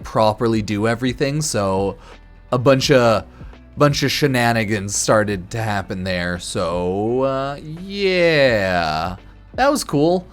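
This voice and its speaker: A man streaming casual, rambling commentary on a game, loose and halting in an informal, slangy, slightly tipsy way. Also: on the low side. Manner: informal, crude slang and swearing. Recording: music behind the voice. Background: background music.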